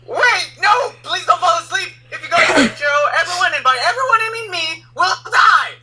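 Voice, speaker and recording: A man voicing a lazy, dramatic young gamer big brother for an anime fandub, theatrical and prone to swing from drawl to yelling. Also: very high voice, animated, moderate pace, pitched above the performer's own voice, heavily acted, low-quality recording. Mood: panicked pleading. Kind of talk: anime fandub script reading.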